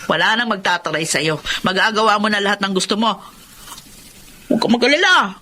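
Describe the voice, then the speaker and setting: A woman's voice: mid-range voice, lively intonation, a little fast, sharp tone, a little hiss or hum. A Filipino woman playing an aggrieved but loving wife in an emotional, emphatic radio drama style. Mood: hurt, resolute. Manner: exasperated, emphatic. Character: aggrieved wife leaving her husband, still loving him.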